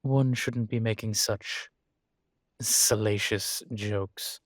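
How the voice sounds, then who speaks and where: A man acting as a composed, courteous college professor in an intimate audio roleplay, speaking with measured, formal politeness and dry wit. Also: on the low side, fairly even intonation, no echo. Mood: amused, mildly scolding. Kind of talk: intimate scripted one-sided roleplay dialogue.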